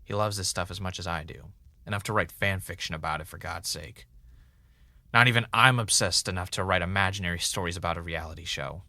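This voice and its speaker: A male podcast host in an audio drama, wry and given to drama. His voice sits low yet has a bright, forceful edge. He talks conversationally, as if narrating his own investigation. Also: fairly quick, creaky, exaggerated voice. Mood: musing.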